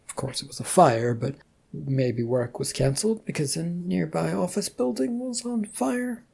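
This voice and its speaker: A narrator with a husky, gravelly voice reading a scripted story. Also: mid-range voice, mellow.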